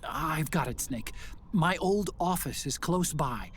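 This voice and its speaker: Higher-voiced, teasing American tech sidekick. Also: male voice, lively intonation, takes it slowly, a little hiss or hum. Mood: helpful, explaining. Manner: chatty, helpful, lightly teasing. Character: friendly, teasing younger male tech-expert sidekick. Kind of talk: video game voice acting.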